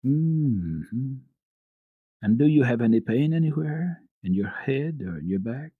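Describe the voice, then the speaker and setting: A man playing a kindly, calm family doctor in a storybook, questioning and reassuring in a gentle, measured manner. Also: medium pitch, slightly rough, no room sound, phone-quality sound, voice pushed up. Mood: probing. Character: kindly male family doctor, calm, soothing, quietly probing.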